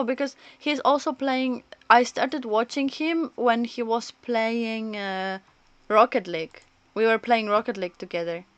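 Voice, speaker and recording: A woman's voice: on the high side, no echo. A woman streaming a game, commenting casually in a chatty, rambling, hesitant way. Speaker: livestreamer.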